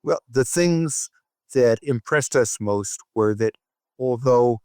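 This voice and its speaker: Measured man, very dry close sound. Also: mid-range voice, a little mellow, clear, resonant tone, no echo. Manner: measured, formal, technical. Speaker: guest, professor of cardiac electrophysiology. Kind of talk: explanatory interview answers.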